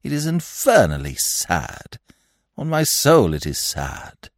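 A blustering, easily affronted old lord, as a male narrator puts him on in a storybook reading. His voice rides high and shrill, leaping all over the scale whenever he is crossed. The sound is dry and close. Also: wildly swooping pitch, slow, no echo. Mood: lamenting. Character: testy elderly male nobleman, fussy and shrill. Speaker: provincial lord and godfather.

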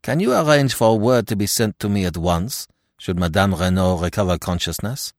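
A man voicing a shrewd, courteous Belgian detective in an audiobook, precise and calmly confident. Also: lowish voice, moderate pace, no echo, clean studio sound. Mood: grave, quiet.

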